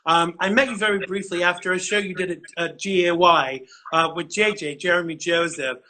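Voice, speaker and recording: A man's voice: high-pitched, fairly even intonation, brisk, sharp tone. A middle-aged man hosting a live interview show, chatty and effusive, tripping over his words with enthusiasm. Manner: effusive, chatty, lots of fillers and restarts, fan enthusiasm. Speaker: show host. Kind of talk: casual livestream interview.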